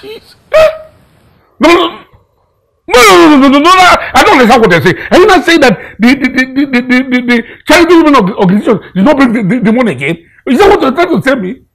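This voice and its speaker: Husky, high-pitched panicking Nigerian uncle. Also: male voice, big pitch swings, quick pace, heavily acted, poor audio. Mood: shocked disbelief. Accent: Nigerian Igbo. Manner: stammering, repeating phrases. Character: desperate, panicking uncle betrayed by his nephew, in hiding. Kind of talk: melodramatic film acting.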